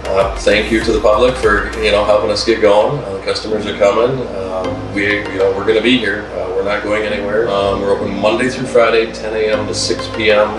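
Deep-voiced man talking in a roomy space. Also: little pitch movement, a little fast, few pauses, far from the mic. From Wisconsin, USA. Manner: plain-spoken, frequent "um" fillers. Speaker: lumber business owner. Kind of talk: interview. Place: wood-paneled room indoors. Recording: roomy sound from off-mic with music behind.

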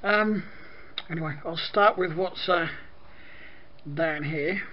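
A man from Britain with a croaky voice, casually reviewing a toy set, rambling with lots of ums and clearing his throat. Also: fairly high pitch, slow pace, muffled recording. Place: indoor room at a desk with toy display.

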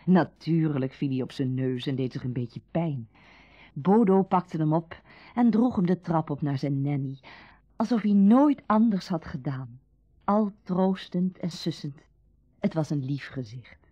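An actress playing the storyteller of a radio drama, narrating a summer tale. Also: female voice, deep voice, lively intonation, a little slow, warm tone, breathy edge, heavily acted. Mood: calm storytelling. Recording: dull, roomy old radio recording.

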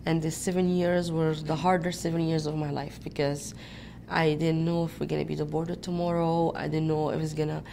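Creaky-voiced young woman from Egypt. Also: low-pitched, level tone, normal speed, mellow. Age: young adult. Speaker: university student interviewee. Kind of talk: earnest documentary interview. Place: indoors by a large window. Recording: noisy room ambience.

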